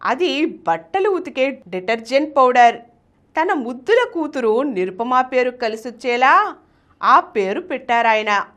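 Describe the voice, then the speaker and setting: Woman, high voice, lively intonation, scripted storytelling, emphatic delivery. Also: a little slow. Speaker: host and reader of a business success story show.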